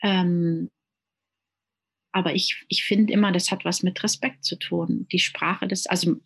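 A woman's voice: fairly low pitch, fairly even intonation, measured pace, creaky, slightly rough. A woman from Germany, a native speaker of German and Italian, talking earnestly as an interview guest, often repeating words while she searches for the next one. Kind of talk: casual conversational interview.

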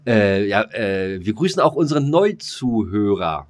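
A man's voice: on the low side, big pitch swings, a little slow. A man co-hosting a podcast in casual comedic banter, rambling and throwing in jokey asides. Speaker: podcast co-host.

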